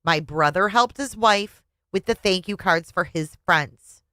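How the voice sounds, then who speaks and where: A woman voicing an exasperated wife in a story, pleading and high-strung as she begs to be understood. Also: unhurried, bright, forceful tone, no echo. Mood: reasoning, frustrated.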